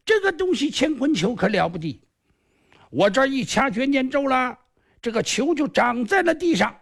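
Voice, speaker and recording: A man voicing a boastful, cunning male martial artist with sorcery tricks, in a shrill sing-song drawl. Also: big pitch swings, moderate pace, gravelly, fairly bright tone, heavily acted. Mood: scheming. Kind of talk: traditional storytelling performance.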